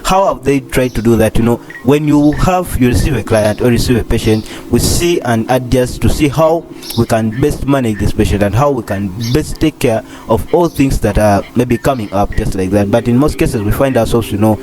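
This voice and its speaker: A husky young man in his twenties talks in a loose, rambling way and leans on the same phrases again and again. His pitch sits in an ordinary middle range. Music plays clearly underneath him. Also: normal speed, few pauses, rough recording. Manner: rambling, repeats phrases, many fillers. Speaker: doctor in training, channel host. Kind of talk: casual vlog commentary.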